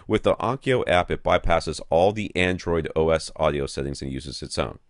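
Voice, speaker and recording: A man's voice: extremely low pitch, normal speed, dry recording, studio quality. A man co-hosting a podcast, chatting casually about tech in a rambling, informal way and often cutting into his own sentences. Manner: rambling, self-interrupting, informal. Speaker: podcast co-host. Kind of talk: casual tech talk, reading out listener questions.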